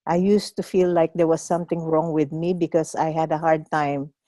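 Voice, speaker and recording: An older woman from the Philippines with a Filipino accent, whose first language is Kapampangan, introducing herself on a video call in a reflective, measured way. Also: sits low, level tone, dark tone, no room sound, clean studio sound. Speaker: workshop facilitator. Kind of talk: online workshop self-introduction.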